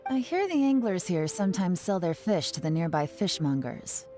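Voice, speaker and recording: A woman's voice: on the low side, big pitch swings, moderate pace, dark tone. A woman voicing a shrewd spy who speaks in a cool, teasing and evasive way. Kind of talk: video game voice acting. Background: game music.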